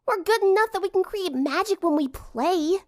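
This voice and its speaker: Casting: brash cartoon teen girl, very high-pitched, animated, exuberant. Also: moderate pace. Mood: excited, boastful. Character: brash, excitable teenage cartoon girl bandmate.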